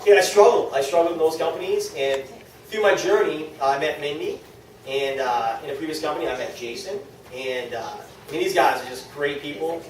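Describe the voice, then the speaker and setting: A man with a New England accent and a slightly high voice talks casually to a team meeting. He is self-deprecating and keeps checking in with his listeners. The room is very echoey and he sounds set back from the microphone. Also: fairly quick. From New England, United States. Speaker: speaker at a direct-sales team meeting. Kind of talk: informal motivational talk to a small group. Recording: very echoey room, distant mic, faint noise.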